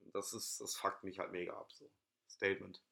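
A young man co-hosting a casual podcast, talking in a slangy, halting way with many fillers. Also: low-pitched, level tone, gravelly, bright, forceful tone. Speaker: podcast co-host. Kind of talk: casual chat.

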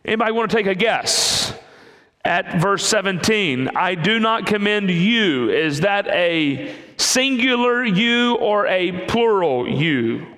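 High-pitched guy preaching in a big echoey church, chatty, keeps quizzing the crowd. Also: animated, slow, pushed and bright. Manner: conversational, asks the congregation questions. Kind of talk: interactive sermon with congregation. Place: church sanctuary. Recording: long hall reverb.

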